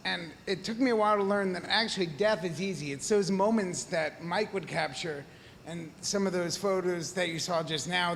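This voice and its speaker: A man's voice: high-pitched, gravelly, fairly bright tone. A man, a fellow journalist in the audience, giving an earnest and reflective remark at a panel. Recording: reverberant hall, faint noise.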